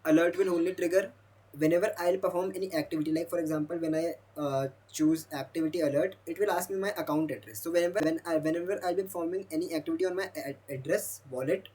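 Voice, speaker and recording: A young man, a Hindi speaker, walking through a demo of his own software while thinking aloud. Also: a little high, steady tone, fast. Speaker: software developer demoing his app. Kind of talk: screen-recorded product demo.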